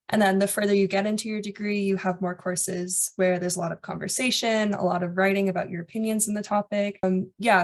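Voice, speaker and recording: A young woman, an undergraduate student, earnestly describing her studies on a webinar panel. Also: mid-range voice. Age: young adult.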